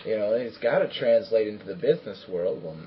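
A man with a husky voice giving opinions in casual, coarse and blunt live talk. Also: lowish voice, animated, fairly quick, a little hiss or hum. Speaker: talk show participant. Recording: dull lo-fi audio.

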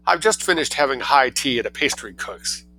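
A man's voice: mid-range voice, animated, moderate pace, pushed and bright, faint music behind, pitched above the performer's own voice, poor audio. A man voicing a scheming little boy who sounds sweet but speaks in a cheeky, matter-of-fact way. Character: cunning angelic-seeming little boy.

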